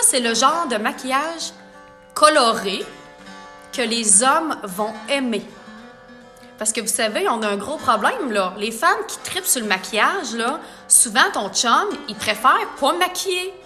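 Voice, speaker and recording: A woman's voice: sits high, animated, measured pace, sharp tone, faint music behind. A woman makeup artist with a Quebec French accent, chatting playfully with viewers during a live makeup tutorial. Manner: chatty, playful, reads viewer comments aloud.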